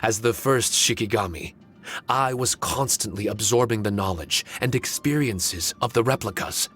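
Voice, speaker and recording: Guy doing an earnest old-world spirit from a game, deep-ish voice, formal and measured, soft music under it. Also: close to an everyday voice. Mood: solemn, reflective. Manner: formal, measured, solemn storytelling delivery. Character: earnest, dignified male shikigami spirit recounting ancient history. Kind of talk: video game voice acting.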